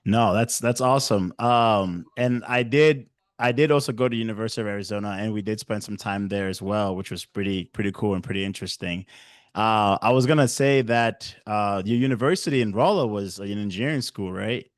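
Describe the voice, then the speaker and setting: A man's voice: on the low side, a little fast, no echo, studio quality. A man hosting a casual interview, talking loosely with many fillers and restarts. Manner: filler-heavy, restarts often. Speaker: host.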